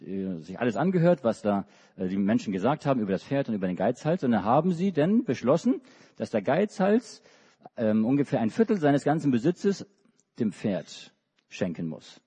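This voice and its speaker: A man's voice: medium pitch, very animated, moderate pace, mellow, breathy edge. A middle-aged man from Hamburg, a preacher, telling a children's story in a church service with many restarts and hesitations. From Hamburg, Germany. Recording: dull recording in a reverberant hall.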